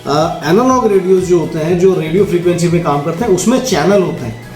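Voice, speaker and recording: A man with a soft, mellow tone explains a product step by step. He talks casually and instructively, straight to the viewer. The room is noticeably echoey, with faint music underneath. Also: high-pitched, brisk. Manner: instructional, addresses viewers directly with frequent check-in tags. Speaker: product demonstrator. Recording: roomy echo, faint music.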